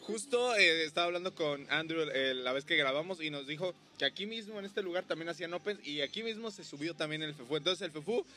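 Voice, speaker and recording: A man's voice: fairly high pitch, expressive, fast talker, few pauses, sharp tone, slight background noise. A man from Veracruz with a Mexican accent, hosting a casual podcast interview in an easygoing way and often jumping in with short replies. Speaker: podcast host, stand-up comedian.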